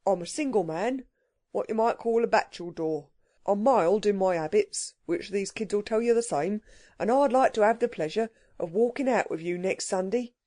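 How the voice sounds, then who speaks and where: A woman voicing a rough working-class male burglar, talking in slangy, grumbling dialect. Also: mid-range voice, normal speed, warm tone, no room sound, clean studio sound, close to an everyday voice. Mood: courting.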